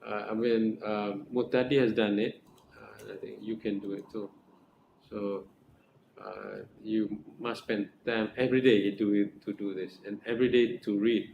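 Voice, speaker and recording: A man's voice: lowish voice, little pitch movement, moderate pace, creaky, muffled recording. A middle-aged man with a Malaysian accent advising a research student as supervisor, earnest and repetitive, restarting phrases and asking "right?" often. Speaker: academic supervisor. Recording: echoey room with faint noise.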